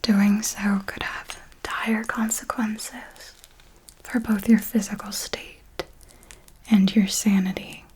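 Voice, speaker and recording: Woman as a slow whispering female vampire mentor. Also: medium pitch, level tone, a little mellow, lightly acted, low-quality recording. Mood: stern warning. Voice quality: breathy, unvoiced whisper. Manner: slow, deliberate, coaxing and instructive. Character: possessive, commanding female vampire mentor to a fledgling. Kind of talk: whispered ASMR roleplay.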